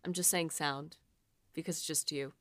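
A woman's voice: low-pitched, steady tone, normal speed, fairly bright tone, no echo, clean studio sound. A woman hosting a podcast, talking casually and conversationally. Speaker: podcast host. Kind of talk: casual chat.

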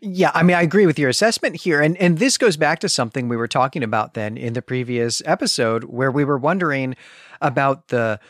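A man's voice: medium pitch, a little fast, a bit bright, no echo, studio quality. A man co-hosting a podcast, talking through a story in an articulate, explanatory way. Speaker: podcast host. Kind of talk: literary discussion and recap.

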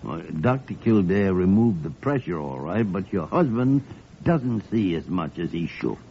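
An actor playing a gruff, fatherly older senior doctor in an old-time radio drama, blunt and given to stammered repetitions. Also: sits low, very animated, a little slow, heavily acted. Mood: kindly, hesitant. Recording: muffled, hissy vintage radio recording.

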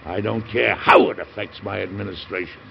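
A man playing an indignant, burdened middle-aged American president in an old radio drama, speaking formally and emphatically with a gravelly, husky voice. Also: lowish voice, fairly even intonation, a little fast, slight background noise. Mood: wry, defiant. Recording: muffled vintage radio broadcast.

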